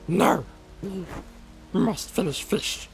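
A man voicing a wretched, wheedling cave creature for an audiobook, with a hissing, gurgling rasp, broken childlike speech and a sly, suspicious manner. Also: high voice, expressive, very slow, soft background music, voice pushed up, full character voice. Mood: sulky refusal. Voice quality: hissing, gurgling, raspy whisper. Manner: broken childlike speech, talks of self by name. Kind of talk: audiobook character voice.

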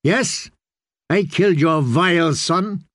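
A man's voice: on the high side, slow pace, a bit bright, no room sound, studio quality, voice pushed up. A man voicing a bitter, spiteful dwarf who snarls his words with malice. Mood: snarling. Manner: snarling, venomous.

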